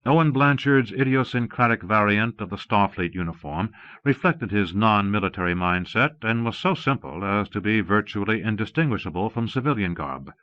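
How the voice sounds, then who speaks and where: Low-voiced male audiobook narrator, dull tape sound. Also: lively intonation, no room sound. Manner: measured, formal. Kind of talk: scripted narration of a science fiction novel.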